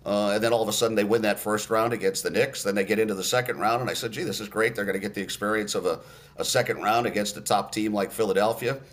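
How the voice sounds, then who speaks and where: An older man, a radio play-by-play announcer, telling stories in a relaxed interview with many fillers and restarts. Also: on the low side, fast.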